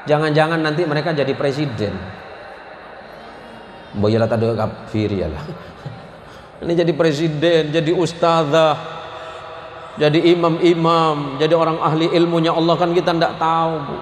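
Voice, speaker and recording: A man's voice: a little high, fairly even intonation, moderate pace, pauses often. A man preaching to a live audience with a Madurese-tinged Indonesian accent. He jokes with the crowd, quizzes them and mixes in Madurese and Javanese words. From West Kalimantan, Indonesia. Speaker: Islamic preacher. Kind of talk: humorous sermon to a live audience. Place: large event space with an audience. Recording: echoey venue with faint noise.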